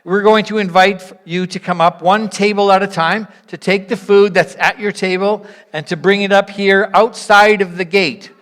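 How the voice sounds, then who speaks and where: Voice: medium pitch, steady tone, normal speed, hardly any pauses, a bit bright. A church leader addressing a congregation with instructions and reflection in an encouraging, invitational, explanatory way. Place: large reverberant room, church gathering. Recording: reverberant hall sound.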